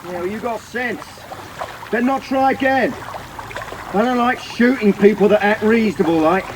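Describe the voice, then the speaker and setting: An actor playing a rough, threatening but reasonable vigilante guard in a radio drama, talking in a gruff, colloquial way. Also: male voice, high-pitched, measured pace, slight background noise, rough recording. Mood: grudgingly reasonable.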